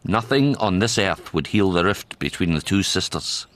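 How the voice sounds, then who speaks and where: An actor as a wry, knowing Scottish narrator, telling a scripted radio drama story. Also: male voice, sits low, expressive, normal speed, a bit bright.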